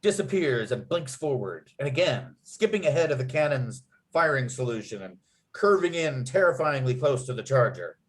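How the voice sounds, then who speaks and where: A man's voice: medium pitch, very animated, moderate pace, poor audio. A man reading script stage directions aloud as narrator, with clipped, dramatic scene headings. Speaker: narrator and writer.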